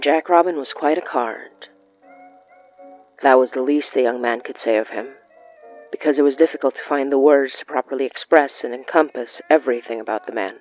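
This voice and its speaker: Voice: medium pitch, brisk, a little mellow. A narrator reading a scripted short story in a literary storytelling style. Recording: muffled lo-fi sound with music. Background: music.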